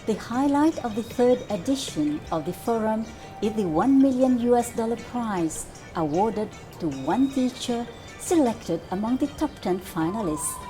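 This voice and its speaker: A woman reporter narrating a news report and asking interview questions in a measured, formal way. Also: on the high side.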